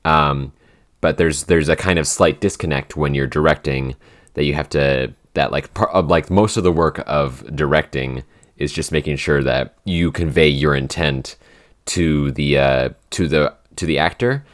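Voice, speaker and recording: Very deep-voiced young man chatting casually. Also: measured pace. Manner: rambling, full of "like" and restarts, easy laughs. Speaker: podcast co-host, writer and director. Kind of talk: casual behind-the-scenes Q&A chat.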